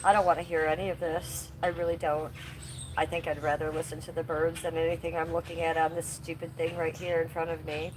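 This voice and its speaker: A woman's voice: low voice, level tone, fairly quick, a little hiss or hum, low-quality recording. A woman with a summer cold live streaming outdoors, answering her chat by name and coughing between remarks. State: congested with a cold. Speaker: livestreamer. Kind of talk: casual live stream chat reading comments.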